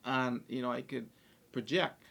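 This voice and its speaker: A man with a light, high voice, hosting a podcast in casual, animated chat, who laughs often and sometimes stammers. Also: a little slow, gravelly. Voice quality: light, high voice, not deep. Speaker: podcast host.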